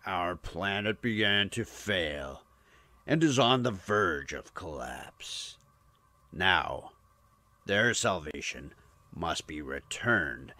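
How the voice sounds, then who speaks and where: Very slow, low menacing alien commander. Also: male voice, pushed and bright, no room sound, heavily acted. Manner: grave, slow, declamatory. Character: menacing alien warrior commander demanding repayment. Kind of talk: sci-fi audio drama acting.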